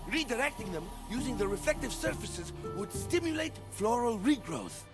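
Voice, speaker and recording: A man playing an excitable, apologetic botanist in a video game, eagerly explaining in wordy scientific jargon. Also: very high voice, normal speed, over music. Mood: excited. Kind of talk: video game cutscene acting.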